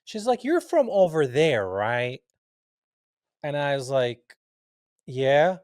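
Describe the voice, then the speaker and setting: A man's voice: on the high side, slow, dry recording, clean studio sound. Man telling stories animatedly. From Palestine. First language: Arabic. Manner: animated, acts out quoted dialogue, laughs often. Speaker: guest. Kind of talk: casual interview storytelling.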